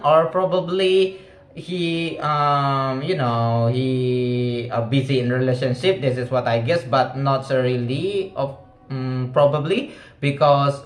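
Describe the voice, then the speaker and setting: A man's voice: mid-range voice, roomy sound. A young man with an Indonesian accent, a non-native English speaker talking casually and hesitantly, with many fillers and restarts as he practices speaking English. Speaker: vlogger.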